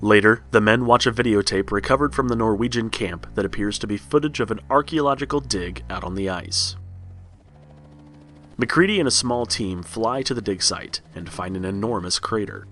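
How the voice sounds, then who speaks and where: A man's voice: fairly low pitch, moderate pace, fairly bright tone, a little hiss or hum. A man narrating a horror tale as a scripted read-along storybook. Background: music.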